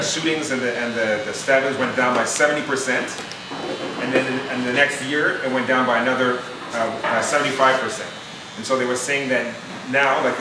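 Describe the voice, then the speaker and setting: A man born in Haiti and raised in Montreal, speaking earnestly to a youth audience as a foundation founder, often restarting his phrases. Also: medium pitch. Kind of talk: informal speech to a live audience. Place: event room with an audience. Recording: echoey room, distant mic, noisy.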